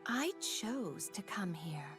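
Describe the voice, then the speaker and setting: A woman voicing a gentle, wise celestial healer in a video game, serene, nurturing and encouraging. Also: medium pitch, sing-song, slow, soft background music. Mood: earnest.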